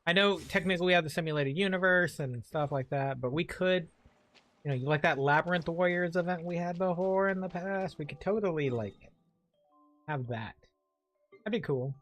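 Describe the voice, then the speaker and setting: Guy streaming a game, kinda high voice, rambles as he thinks out loud, faint music behind. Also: moderate pace. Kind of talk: casual let's play commentary.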